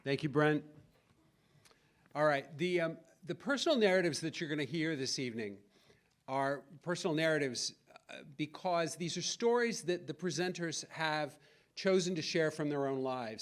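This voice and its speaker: A man hosting a recital, introducing the presenters in a loose way with frequent ums and restarts. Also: a little high.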